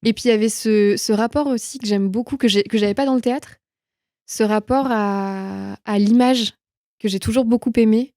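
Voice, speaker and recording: A young woman speaks with a mellow, soft-edged tone in a very dry, close acoustic. She is an interview guest chatting informally and reflectively about her own life, reworking her phrases as she thinks them through. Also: a little high, fairly even intonation, normal speed, dry recording. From France. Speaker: interview guest, film production manager. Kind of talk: casual conversational interview, personal anecdotes.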